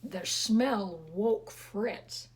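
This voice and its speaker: A woman's voice: mid-range voice, drawn-out words, background noise. A woman reading a storybook aloud expressively to children, with chatty, teasing asides to her listeners.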